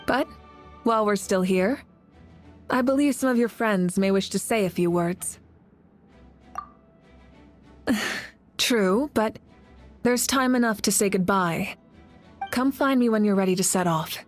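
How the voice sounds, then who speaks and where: A woman playing a commanding warrior leader in a video game, solemn and rallying, speaking with measured, dignified oratory. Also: mid-range voice, soft background music, close to an everyday voice. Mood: grave.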